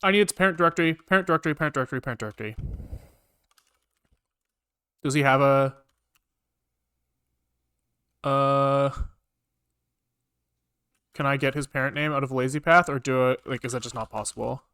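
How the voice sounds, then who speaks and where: A man's voice: medium pitch, normal speed, pauses often, a bit bright, clear, resonant tone. A man live-streaming a programming session, talking casually to himself with informal, sweary muttering as he works things out. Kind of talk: casual thinking aloud while coding.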